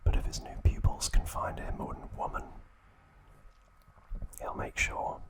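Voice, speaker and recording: Male ASMR host. Unvoiced, breathy whisper throughout, very unhurried phrasing with pauses, close on the mic. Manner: slow, hushed, deliberate phrasing with pauses. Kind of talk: ASMR, whispered trivia and show-and-tell.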